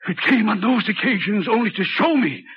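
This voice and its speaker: A man's voice: high voice, lively intonation, normal speed, breathy edge, exaggerated voice. An actor in a 1940s radio drama playing a haunted, guilt-ridden railway signalman, speaking in an anguished, agitated way with emphatic repetitions. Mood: anguished, despairing. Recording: muffled, noisy vintage 1940s radio recording.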